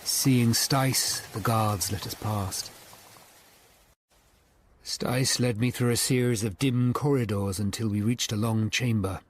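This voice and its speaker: An actor playing a grave Tudor lawyer-detective who narrates a radio drama in the first person, measured and sombre. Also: male voice, fairly low pitch, fairly even intonation, a little hiss or hum.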